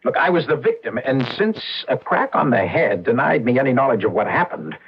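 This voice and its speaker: An actor playing an agitated, suspicious occult dabbler, blunt and impatient, in a radio mystery drama. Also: male voice, mid-range voice, big pitch swings, a little fast, a little hiss or hum. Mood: agitated, demanding. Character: agitated, suspicious man who dabbles in Satanism. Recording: dull old radio recording.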